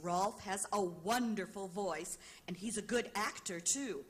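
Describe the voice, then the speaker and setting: An actress playing a gracious, busy opera singer in an old-time radio drama, polite and genteel. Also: female voice, medium pitch, unhurried, natural voice. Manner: polite, genteel hostess manner. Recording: noisy vintage radio recording.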